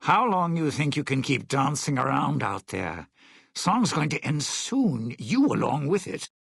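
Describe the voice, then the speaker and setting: An actor voicing a suave, bitter, century-old lounge singer in a video game, a sardonic and scheming showman with a raspy voice. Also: a little high, very animated, measured pace, fairly bright tone, no echo, studio quality, exaggerated voice. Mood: taunting, menacing. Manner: theatrical, sardonic showman talking in stage metaphors.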